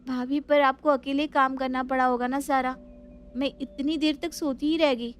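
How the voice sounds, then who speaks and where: A woman's voice: high-pitched, steady tone, moderate pace, slight background noise, natural voice. A woman voicing a polite, considerate young daughter-in-law in a family story. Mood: grateful. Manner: polite, deferential.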